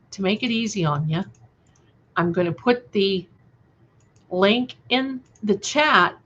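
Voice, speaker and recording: A woman's voice: on the high side, fairly bright tone. A woman hosting a casual livestream, answering viewers in a scattered, affectionate way full of endearments. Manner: gushing, affectionate terms of endearment, scattered and conversational. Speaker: livestream host and channel creator. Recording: dull, lo-fi livestream audio.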